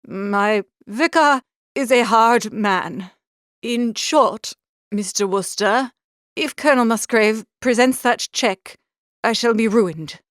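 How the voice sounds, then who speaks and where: Woman as young male curate, earnest, mournful, formal diction, pleading, higher-pitched, audiobook. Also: slow pace, a bit bright, no room sound, clean studio sound. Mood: mournful confessing. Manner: formal, wordy, pleading speech. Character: earnest, mournful young curate, a man, confessing gambling ruin. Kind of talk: audiobook character reading.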